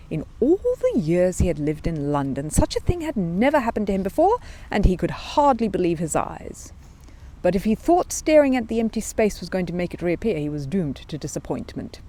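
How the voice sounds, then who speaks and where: A woman's voice: lowish voice, warm tone. A woman storyteller narrating and introducing a children's book.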